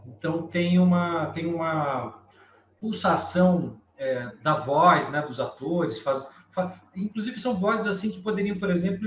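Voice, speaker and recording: A Brazilian man giving thoughtful, halting commentary in a class discussion, thinking aloud as he goes. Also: a little high, slight background noise. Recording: echoey room, dull lo-fi sound.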